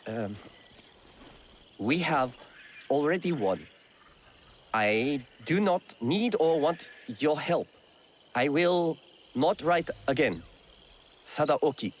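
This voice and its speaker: Earnest young messenger, higher voice, very slow stumbling delivery, game cutscene, faint night noise. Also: male voice, expressive. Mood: halting, careful. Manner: halting, stumbling over words. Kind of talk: video game cutscene acting.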